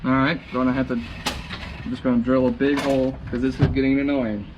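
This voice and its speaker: A man's voice: medium pitch, flat delivery, normal speed, dull audio, background noise. A man casually narrating as he installs parts in a Jeep, muttering to himself and getting mildly frustrated. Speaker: DIY vehicle modder. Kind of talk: casual narration while working. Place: in and under a Jeep.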